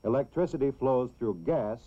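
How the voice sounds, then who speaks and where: A man's voice: mid-range voice, a little hiss or hum. Male educational film narrator, dull lo-fi soundtrack. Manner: measured, clearly explanatory delivery for children.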